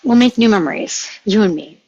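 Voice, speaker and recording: A woman playing a tender, worried young woman who soothes her lover, gentle and reassuring, in a script table read. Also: mid-range voice, expressive, brisk. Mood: tender, soothing. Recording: dull, lo-fi audio.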